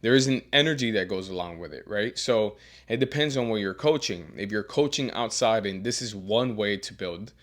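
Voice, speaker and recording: A man who coaches strength and teaches as a professor, giving earnest career advice with a deeper voice and frequent repeated words as he restarts. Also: expressive, normal speed. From Miami. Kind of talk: advice monologue.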